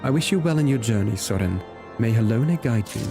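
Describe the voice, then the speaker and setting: A man's voice: mid-range voice, expressive, a little fast, vocal fry. A man voicing a courteous, earnest young nobleman from a fantasy game, reading the dialogue formally and politely. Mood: courteous farewell. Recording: music behind the voice. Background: game music.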